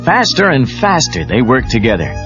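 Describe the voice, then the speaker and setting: A muffled cassette recording with music behind a male narrator. His voice is fairly low and very animated as he reads a children's story. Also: normal speed. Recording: dull lo-fi cassette tape.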